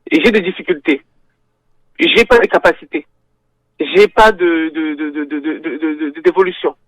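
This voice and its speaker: A woman guest on a radio talk show, speaking haltingly with repeated words, then arguing her point firmly. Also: deep voice, no echo, low-quality recording.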